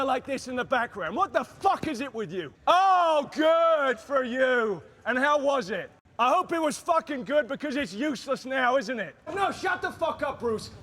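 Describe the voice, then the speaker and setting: Man yelling in a furious rant. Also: very high voice. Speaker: actor ranting on a film set. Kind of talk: angry on-set outburst.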